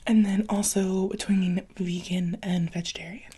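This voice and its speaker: A woman speaking very softly and breathily, often in a whisper, as a gentle, encouraging interviewer in an ASMR roleplay. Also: mid-range voice, steady tone, a little slow, a little hiss or hum. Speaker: ASMR roleplayer playing a restaurant job interviewer.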